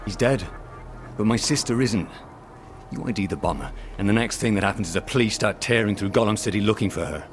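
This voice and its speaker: An actor playing a guilt-ridden, protective police lieutenant in a video game, speaking in an earnest, guarded, halting way. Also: male voice, fairly low pitch, faint music behind, natural voice. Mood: guarded, pleading. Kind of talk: video game dialogue acting.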